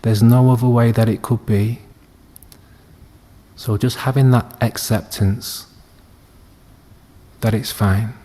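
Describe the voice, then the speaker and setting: Guy doing a guided body scan, really mellow dark voice, barely moves in pitch, gentle and soothing. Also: steady tone. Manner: gentle, soothing, unhurried with long pauses. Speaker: meditation teacher.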